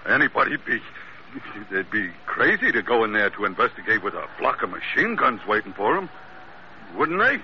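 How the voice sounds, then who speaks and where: A man's voice: on the low side, sing-song, normal speed. An actor playing a scrappy, suspicious American sailor, a captain's sidekick in a radio adventure, talking in slangy tough-guy style. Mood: wary. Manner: slangy tough-guy talk, swinging from muttering to barking. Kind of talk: old-time radio adventure drama acting. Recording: muffled, faintly noisy old radio recording.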